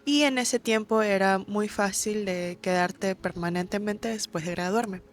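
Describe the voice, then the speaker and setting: A woman's voice: medium pitch, a little slow, a little hiss or hum. A young woman, giving friendly, direct advice to camera in a vlog and dropping in English words. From Ecuador. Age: young adult. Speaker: vlogger.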